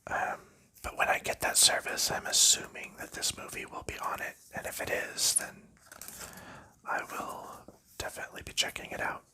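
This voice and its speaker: Bright whispering man, unhurried ASMR chat. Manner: rambling, unhurried whispered chat with soft laughs. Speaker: ASMR creator. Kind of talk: ASMR whispering while sorting board game pieces.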